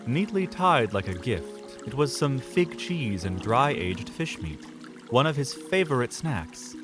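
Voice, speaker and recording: A man's voice: mid-range voice, sing-song, takes it slowly. A man narrating a fantasy audio drama in a scripted, dramatic storytelling style. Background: music under the voice.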